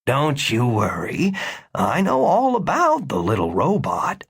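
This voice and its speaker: A man voicing a gentle hospital doctor who speaks slowly and coaxingly, in an audiobook. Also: high-pitched, huge pitch swings, gravelly, husky, voice pushed up, exaggerated voice. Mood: soothing. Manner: slow, coaxing, reassuring.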